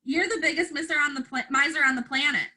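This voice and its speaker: Storybook older brother voiced by a woman: resentful schoolboy, blunt, accusing, high bright voice. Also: fairly even intonation, quick pace. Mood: scornful.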